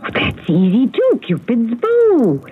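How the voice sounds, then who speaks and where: Sweet, flustered, slow-talking woman. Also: fairly high pitch, huge pitch swings, slightly rough, full character voice. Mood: nostalgic, delighted. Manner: hesitant, exclaiming, wistful. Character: sweet, flustered, nostalgic woman recalling childhood. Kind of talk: radio mystery drama acting. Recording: narrow-band, noisy radio drama audio.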